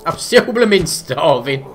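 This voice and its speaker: A man chatting his way through a survival game, cracking jokes and grumbling at the creatures around him. His pitch jumps around a lot as he reacts. Game ambience and a clear layer of noise sit under his voice. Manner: chatty, jokey, talks to himself and the animals. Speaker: let's play gamer. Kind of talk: casual gameplay commentary. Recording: game sounds and noise behind voice.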